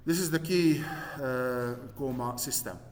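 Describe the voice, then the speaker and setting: Hungarian man, Hungarian accent, physics lecturer, slow, fillers and restarts, dry humor, very echoey hall. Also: medium pitch. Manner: halting, many fillers and restarts, dry jokes. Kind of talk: live classroom lecture.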